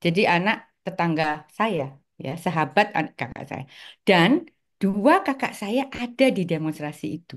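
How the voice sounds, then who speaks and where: A woman in her sixties from East Java, a guest recalling her family's past in an interview, pausing often with fillers. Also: on the low side, expressive. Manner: hesitant, many filler pauses. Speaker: guest, article author. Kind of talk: interview, personal recollection.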